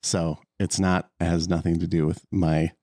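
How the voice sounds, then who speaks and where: A man's voice: low voice, level tone, normal speed, mellow, dry recording, studio quality. A man playing in a tabletop game, talking in an earnest, hesitant way. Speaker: player. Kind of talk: casual chat at the gaming table.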